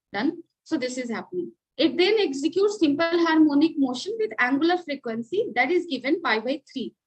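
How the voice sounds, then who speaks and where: High-voiced Indian woman teaching physics. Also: poor audio. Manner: switches between English and Hindi, asks checking questions. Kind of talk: online class lecture. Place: indoor room, online video class.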